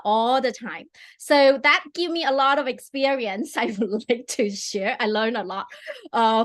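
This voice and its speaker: A woman's voice: a little high, a bit bright. A middle-aged woman hosting a live online interview over a video call, chatty and enthusiastic, with many fillers and restarts. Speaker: host.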